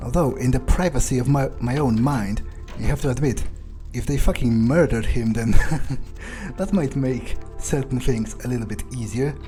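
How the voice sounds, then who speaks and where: Man acting a menacing male vampire, dark relaxed tone, foul-mouthed, taunting, halting phrasing, video game roleplay, light background music. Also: medium pitch, lightly acted. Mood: brooding, musing. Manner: halting, self-correcting, swearing, taunting.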